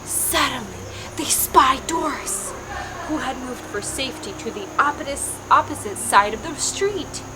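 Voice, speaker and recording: A woman's voice: high-pitched, expressive, a little slow, heavy background noise. A woman storyteller narrating a scripted story for children. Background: music.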